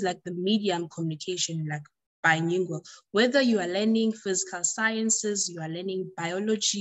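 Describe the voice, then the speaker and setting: A woman's voice: on the low side, a bit bright. South African woman explaining things conversationally. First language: Xhosa. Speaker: language tutor being interviewed. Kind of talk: conversational interview answers.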